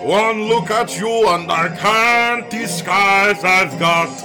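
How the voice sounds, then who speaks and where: A man's voice: high-pitched, slow. A man singing a pop ballad. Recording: music backing behind the voice.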